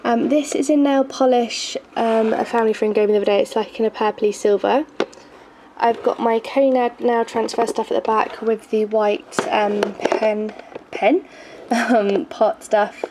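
A young woman talks through her makeup collection in a slightly raised voice, groggy and gravelly, stumbling over words with lots of ums. She films from close by in her bedroom, and the home camera audio carries a steady hiss. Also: on the high side, a little slow. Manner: chatty, hesitant, frequent ums and little laughs. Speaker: beauty vlogger. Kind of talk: casual makeup collection tour. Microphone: handheld camera filming from close. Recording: noisy home video recording.

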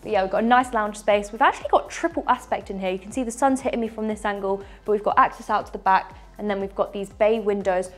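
A woman's voice: mid-range voice, fast, few pauses, a bit bright, faint music behind. A young woman presenting a walking tour of a large house, enthusiastic and gesturing as she goes, on a lapel mic. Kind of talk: upbeat house tour narration. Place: large furnished house indoors.

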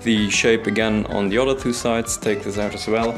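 A man's voice: on the low side, fairly even intonation, moderate pace, over music. A young man casually talking through his woodworking steps in a garage workshop, recorded on an action camera. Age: young adult. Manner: easygoing, explains steps as he works. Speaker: hobby boatbuilder. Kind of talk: casual workshop vlog narration.

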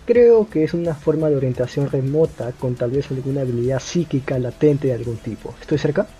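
A man with a Latin American Spanish accent playing a calm, cunning young detective in an amateur film dub, deliberate and accusatory. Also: medium pitch, breathy edge. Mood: cool, probing. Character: calm, cunning young male detective confronting his suspect. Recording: music under the dialogue. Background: background music.

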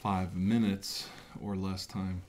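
A middle-aged man playing an earnest scientist who leads an armed mission, speaking in halting, measured phrases on a noisy recording. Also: sits low, level tone, a little mellow. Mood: earnest, commanding. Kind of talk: amateur sci-fi role-play, briefing a team.

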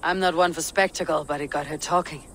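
A woman playing a stern, composed Viking warrior leader in a video game, speaking in a measured, firm way. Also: low-pitched, steady tone, fairly bright tone, slight background noise. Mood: businesslike. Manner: measured, firm, coolly menacing. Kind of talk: video game dramatic acting.

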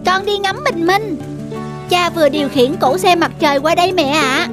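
A woman's voice: very high-pitched, normal speed. A woman voicing a stubborn, eager little boy in a myth cartoon, childlike and insistent. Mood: sulky, insistent. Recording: music behind.

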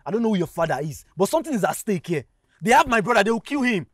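A man's voice: a little high, sing-song, racing, a little mellow, husky, dry recording, studio quality. A man with a Nigerian accent playing an anxious young man who stammers and pleads desperately in a screen drama. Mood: urgent pleading. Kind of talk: dramatic film acting.

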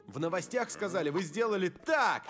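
Star-struck, high-pitched cartoon fan. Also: male voice, expressive, moderate pace, a bit bright, soft background music. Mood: gushing. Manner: gushing, exclamatory. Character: star-struck, excitable male football fan janitor. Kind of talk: animated cartoon dub.

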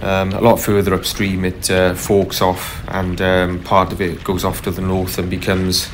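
A man narrating casually as he paddles a kayak on a river, unhurried, with frequent ums and uhs. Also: low voice, monotone, slight background noise. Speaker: kayaker vlogger. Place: kayak on a tidal river outdoors. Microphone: camera mounted on the kayak.

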